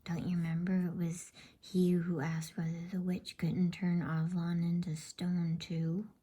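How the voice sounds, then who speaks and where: A woman voicing an anxious, tender-hearted little girl from a bedtime storybook in a breathy whisper. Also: fairly low pitch, monotone, measured pace, mellow. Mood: miserable.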